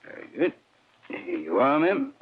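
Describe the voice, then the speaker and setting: A man's voice: on the high side, wildly swooping pitch, measured pace, noisy background. An actor playing a chatty, nosy small-town shopkeeper in a 1940s radio drama, stumbling over his words in a folksy way. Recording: muffled old radio recording.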